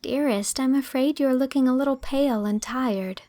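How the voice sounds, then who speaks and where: A woman's voice: high voice, moderate pace, dark tone, no room sound, pitched above the performer's own voice, lightly acted. A woman voicing a kind, sensible young schoolteacher who sounds sincere and reassuring in an audiobook story. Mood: tender. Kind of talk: dramatised audiobook acting.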